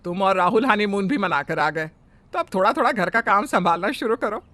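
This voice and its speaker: A man's voice: high voice, animated, a little fast, bright, forceful tone, no room sound. A man voicing a fussy, bossy middle-aged mother-in-law who scolds and complains. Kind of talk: Hindi family drama voice acting.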